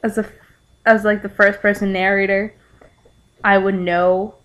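A young adult woman reading aloud and commenting casually, in a halting, rambling way with exasperated asides. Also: medium pitch, flat delivery, fairly bright tone, rough recording. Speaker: amateur vlogger reading fan fiction aloud. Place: living room at home.

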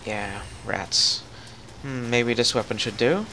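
A man hosting a casual co-op gaming session, calmly guiding his partner through the level. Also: mid-range voice, slow, creaky, background noise. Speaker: channel host playing co-op.

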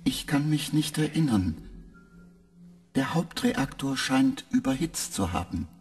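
An actor playing a weary male spaceship computer that answers in halting, clipped, literal sentences. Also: fairly high pitch, huge pitch swings, creaky, a little mellow, heavily acted. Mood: flat.

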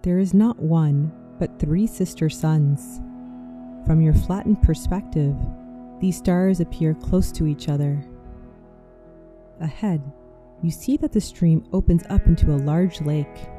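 Very mellow, low-toned guided meditation voice. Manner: soothing, measured meditation delivery. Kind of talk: scripted guided visualization narration. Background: music.

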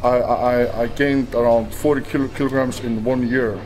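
A man answering interview questions casually, halting and repeating words. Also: medium pitch, fairly even intonation, a little mellow, faint music behind, rough recording. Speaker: interviewed athlete.

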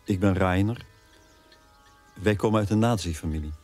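An actor playing a blunt, critical brother in a radio drama dialogue. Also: male voice, low-pitched, normal speed, a little mellow, faint music behind.

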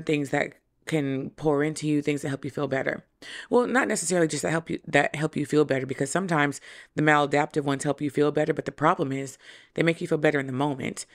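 A woman in her thirties with a hoarse, raspy voice, hosting a solo podcast and explaining ideas in a conversational way, speaking into a stand mic in a small room. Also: sits low. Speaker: podcast host. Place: small decorated room indoors. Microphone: studio mic on stand in front.

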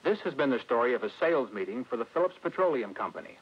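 A man's voice: medium pitch, animated, fast, slightly rough. A middle-aged American man presenting scripted 1960s industrial film narration as a company spokesman, in a formal, salesmanlike way. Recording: dull, lo-fi vintage film soundtrack.

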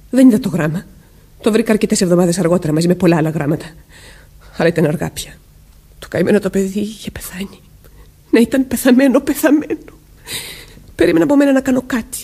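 An anxious young wife haunted by guilt in a radio drama. Her voice is mid-pitched and husky. She speaks in an emotional, pleading way. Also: long pauses, dark tone. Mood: anguished, guilty. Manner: emotional, pleading, breaking into whispers. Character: anxious, guilt-haunted married woman, former schoolteacher. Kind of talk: Greek radio drama acting.

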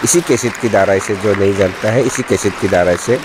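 A middle-aged man, the event organizer, speaking to news cameras in a church hall with halting pauses and fillers. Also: on the low side, a crowd in the background, background noise. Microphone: handheld news mics in front.

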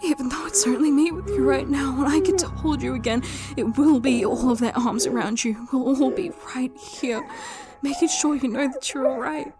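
A woman voicing a tender, anguished wife in a story reading, soothing her partner in a soft, trembling voice. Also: high-pitched, moderate pace, few pauses, music in the background, pitched above the performer's own voice, close to an everyday voice. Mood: tearful, pleading.

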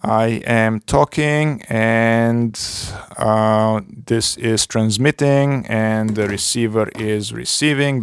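A man in his forties, a native Bulgarian speaker, demonstrating wireless mic gear in his workshop, explaining step by step with frequent pauses. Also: on the low side, gravelly, clean tone. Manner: slow, methodical explaining with frequent uhs. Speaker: woodshop channel host. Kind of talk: unboxing and gear demo. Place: cluttered home workshop.

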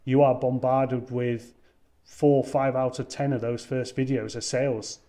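A young man talking casually as a guest on a video call, wearing a headset, often pausing with ums and restarting his sentences. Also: mid-range voice, flat delivery, mellow. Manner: hesitant, many ums and restarts. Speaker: wine content creator guest. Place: indoors, room with wine shelves. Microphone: over-ear headset on video call.

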